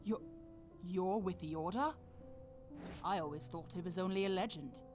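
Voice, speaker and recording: A woman's voice: on the low side, moderate pace, music in the background, natural voice. A woman voicing a wary, dutiful city guard in a dramatic video game, with an official, cautious manner. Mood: surprised, awed.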